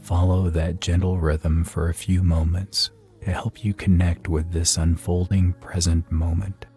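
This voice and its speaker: A man leading a scripted guided meditation in a soothing, unhurried manner with long pauses. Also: low-pitched, little pitch movement. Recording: soft music behind the voice. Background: faint meditation music.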